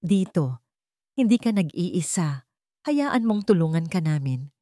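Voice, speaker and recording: A Filipino woman voicing a warm, understanding and playfully loving heroine in a narrated romance story. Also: lowish voice, very animated, unhurried, dry recording, studio quality. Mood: reassuring. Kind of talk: acted dialogue in story narration.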